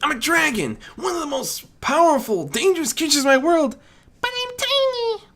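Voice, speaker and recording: A man voicing an insecure, hot-tempered young dragon in an audiobook story, with a high, strained voice and plaintive outbursts. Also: very animated, moderate pace, pushed and bright, full character voice. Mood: bitter, hurt.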